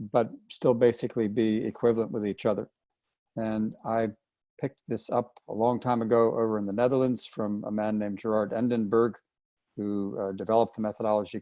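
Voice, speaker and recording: A man explaining a method on an online call in a measured, hesitant way, with many ums and uhs. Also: lowish voice, no echo. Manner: measured, hesitant, frequent fillers. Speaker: expert introducing the topic. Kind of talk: casual explanatory talk. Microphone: video call.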